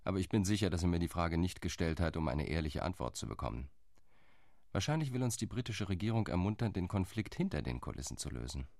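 A measured male diplomat in a radio play, calculating and reserved, his voice low and deep, as an actor plays him. He reports in an even, sober tone. The studio sound is close and dry. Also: no room sound. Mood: reporting. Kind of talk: radio drama monologue.

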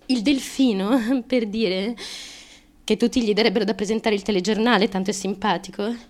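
Radio drama monologue: nervy, girlish young woman, high voice, stammering stream of consciousness, fragile and feverish. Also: darkish tone. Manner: halting, stammering, repeats words, nervous giggles. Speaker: stage actress in a radio theatre monologue.

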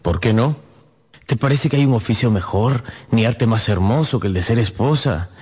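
An actor playing a doting but conventional and anxious young painter in a radio drama. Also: male voice, medium pitch, moderate pace, a little mellow, breathy edge, lightly acted. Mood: nervous, coaxing.

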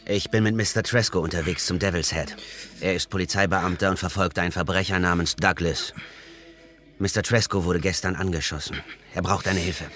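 Earnest young man, very low-voiced. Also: fairly even intonation, brisk, a bit bright. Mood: respectful, urgent. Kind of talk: German western radio drama acting. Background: music.

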